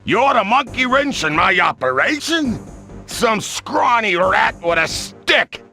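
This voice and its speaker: A gravelly bulldog mob boss. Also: male voice, high voice, sing-song, pushed and bright, faint music behind, full character voice. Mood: mocking. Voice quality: gravelly, tough-guy street talk. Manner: swaggering, blustering, slow. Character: big brutish male bulldog gangster boss. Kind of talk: video game cutscene acting.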